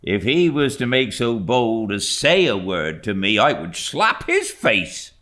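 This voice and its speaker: A loyal, outspoken servant woman, voiced by a man reading aloud. She talks in a homely, emphatic way, louder and higher than his own voice, with a wide, lively sweep of pitch. Her delivery is slow and deliberate. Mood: indignant. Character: warm-hearted middle-aged woman servant, blunt, homely.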